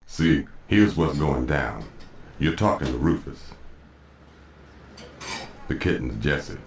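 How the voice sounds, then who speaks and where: A menacing crime boss for a game cutscene, his voice extremely low. He talks in smooth street slang with a hustler's swagger, over clearly audible background noise. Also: lively intonation, slow, heavily acted. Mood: cool swagger. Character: smooth, menacing male gangster boss planning jobs. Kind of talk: video game cutscene acting.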